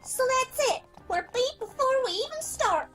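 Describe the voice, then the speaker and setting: A woman's voice: very high voice, lively intonation, moderate pace, a bit bright. A woman voicing a feisty, indignant little country filly, in a fan fiction reading. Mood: exasperated. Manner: folksy, exasperated. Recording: prominent music behind the voice.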